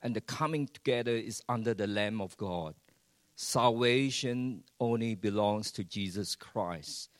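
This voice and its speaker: A man preaching a sermon in English. He swings between hushed lines and loud ones and keeps asking the congregation rhetorical questions. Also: lowish voice, a little slow, no echo, clean studio sound. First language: Chinese.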